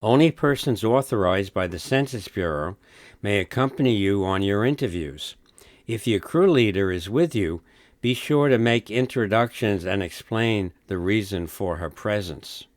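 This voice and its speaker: A man narrating a scripted instructional film in a measured, instructive manner. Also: fairly low pitch, lively intonation, dry recording, studio quality.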